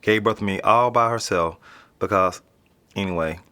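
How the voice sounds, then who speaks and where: A man's voice: on the low side, moderate pace, pushed and bright, smooth. A young man with an American accent playing a casual, slangy, streetwise teenage boy being interviewed in a naturalistic audio drama. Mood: matter-of-fact. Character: nonchalant streetwise teenage boy living in an apartment building.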